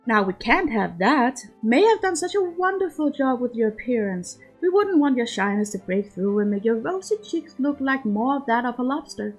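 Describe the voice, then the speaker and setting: A woman's voice: on the high side, big pitch swings, moderate pace, hardly any pauses, soft background music, voice pushed up, rough recording. A woman playing a middle-aged countess mother in a storybook reading, genteel and fond, with a drily teasing manner.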